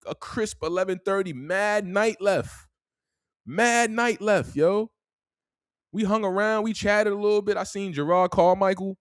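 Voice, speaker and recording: A man's voice: a little high, no room sound. New york dude rambling thru a story, chill drawl, keeps saying man, dry close mic. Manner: laid-back, drawling storyteller, tags lines with "man". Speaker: podcast host. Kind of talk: casual storytelling.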